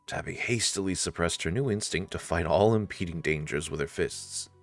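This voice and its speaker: A man's voice: sits low, normal speed, faint music behind. A man narrating a visual novel playthrough expressively, with casual asides.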